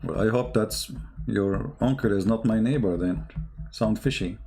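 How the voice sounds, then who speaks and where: Gravelly man with an Arabic accent. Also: fairly low pitch, warm tone, faint music behind. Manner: blunt, combative, halting non-native English. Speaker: livestream host, religious polemicist. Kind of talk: casual livestream monologue answering chat comments.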